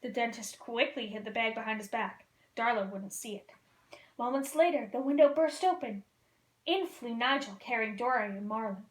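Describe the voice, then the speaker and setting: A woman reading a children's picture book aloud as a costumed storyteller, in a lively, expressive way. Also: on the high side, moderate pace. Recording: roomy echo.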